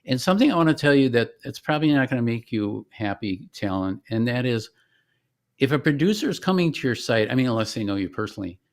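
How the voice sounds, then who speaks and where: A man presenting a software demo in an informal, conversational way, as the founder showing his product on screen. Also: mid-range voice, sing-song, quick pace. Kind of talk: informal screen-share demonstration.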